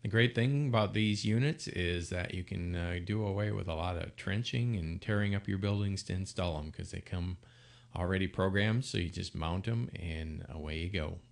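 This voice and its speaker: A man giving an unscripted product demonstration in a plain-spoken, informal way, halting now and then as he explains features. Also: low voice, gravelly. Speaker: product presenter for an intercom seller.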